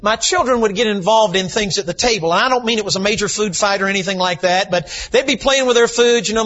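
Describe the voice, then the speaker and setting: A man, a visiting preacher, slightly hoarse, telling folksy stories and building to shouted emphasis in a sermon. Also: sits high. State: slightly hoarse from lack of sleep. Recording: dull lo-fi church recording.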